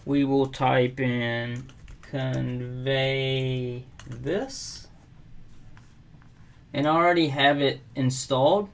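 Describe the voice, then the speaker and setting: A middle-aged man from South Carolina walking through a software setup at his desk, relaxed and unhurried, with frequent ums and uhs. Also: mid-range voice, a little hiss or hum. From South Carolina, USA. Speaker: WordPress tutorial host. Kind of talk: casual screen-recorded software tutorial. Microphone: webcam overlay at desk.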